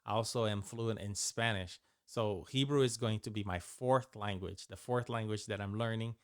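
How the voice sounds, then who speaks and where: A Brazilian-born man whose first language is Portuguese, explaining a Bible course in a loose, halting way full of restarts and fillers. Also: lowish voice, dry recording, studio quality. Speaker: Bible and Hebrew teacher. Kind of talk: informal course overview.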